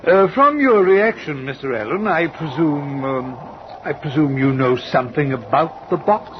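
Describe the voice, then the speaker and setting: A man's voice: a little high, animated, lightly acted. A man playing a stern sea captain. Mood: commanding. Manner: measured, questioning, commanding. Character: stern middle-aged male sea captain. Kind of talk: 1940s radio acting. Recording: dull old radio recording with hiss.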